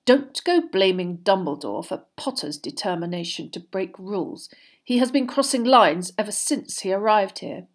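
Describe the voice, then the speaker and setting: A woman voicing a spiteful male teacher in a quiet, soft manner for an audiobook. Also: mid-range voice, animated, normal speed, natural voice. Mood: malicious.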